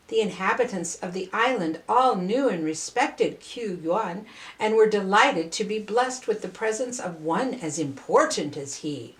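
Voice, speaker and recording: Older woman, storybook narration, measured phrasing, very slow pace, roomy echo. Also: medium pitch, recorded from a distance. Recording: roomy, echoing voice recording.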